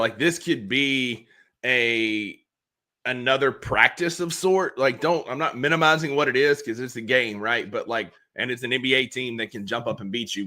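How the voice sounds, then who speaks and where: A man co-hosting a casual sports talk show, talking in a rambling, animated way with lots of fillers. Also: mid-range voice. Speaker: podcast co-host.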